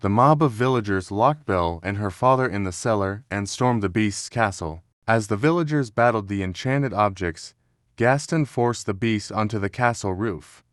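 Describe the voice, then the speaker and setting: Male storybook narrator, scripted read, fairly deep, measured drama, no room sound. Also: no echo, clean studio sound.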